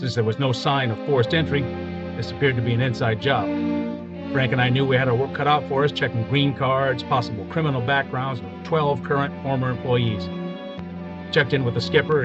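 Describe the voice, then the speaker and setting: An actor playing a male police detective who narrates in a clipped, flat, matter-of-fact way in a parody police radio drama. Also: mid-range voice, fairly quick, lo-fi sound, over loud music, natural voice.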